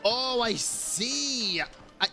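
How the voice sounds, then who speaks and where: A man's voice: very high-pitched, animated, very slow, sharp tone, faint music behind. A man giving casual live gameplay commentary as a streamer, reacting in slangy, sweary language. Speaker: game streamer.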